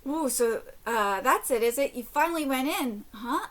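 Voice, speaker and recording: A woman reading aloud the lines of a scheming young wife from a comic, in a low, taunting tone. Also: animated, moderate pace.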